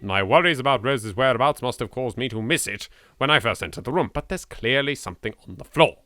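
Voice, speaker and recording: A man's voice: mid-range voice, animated, a little fast, bright, forceful tone. A British man doing a let's play, reading horror game text aloud with theatrical expression and dropping in his own sweary asides. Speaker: video game let's player.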